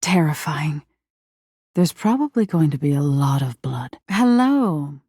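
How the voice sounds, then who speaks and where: A woman playing a living nightmare spirit in a video game, seductive and eerie, with a breathy, intimate delivery and a wounded, menacing edge. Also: expressive, unhurried, dark tone, dry recording, clean studio sound, exaggerated voice. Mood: gleefully eerie. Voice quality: breathy, husky, low. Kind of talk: video game character acting.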